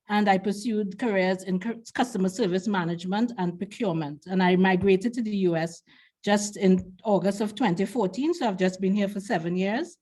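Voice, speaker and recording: A middle-aged woman from Trinidad and Tobago with a Trinidadian accent, an ENL assistant giving a composed, measured talk over a video call. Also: mid-range voice, warm tone. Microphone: webcam on video call.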